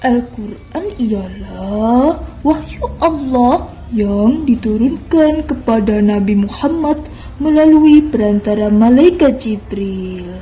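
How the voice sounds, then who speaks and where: A woman's voice: fairly high pitch, lively intonation, mellow, soft background music. Loli, a woman doing a patient little girl. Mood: explaining. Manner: explains slowly and carefully, like a lesson. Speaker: kindergarten teacher. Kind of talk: children's storytelling skit.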